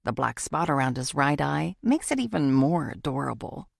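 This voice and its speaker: A woman's voice: sits low, sing-song, normal speed, gravelly, husky, no room sound, studio quality. A woman narrating a first-person thriller audiobook from a script.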